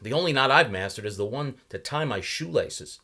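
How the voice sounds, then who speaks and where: Male reader as awkward earnest man, raised pitch, bright, hesitant, courteous, storybook. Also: animated, brisk. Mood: admitting. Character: polite, awkward, earnest man named Mason.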